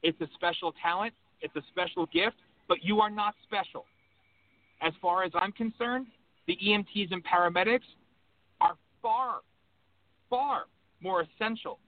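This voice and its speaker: A fired-up male host holds forth over a call-in show, his fairly high voice squeezed into thin phone-line sound. Faint hum sits underneath as he rambles and hammers his points home. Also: very animated, unhurried, pauses often. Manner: impassioned, rambling, emphatic. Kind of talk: call-in radio talk.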